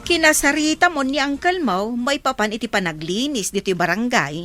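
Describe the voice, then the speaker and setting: An actress with an Ilocano Filipino accent playing a brisk, bantering female village councilor in a radio comedy drama. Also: on the high side, animated, a bit bright, soft background music. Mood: brisk, chiding.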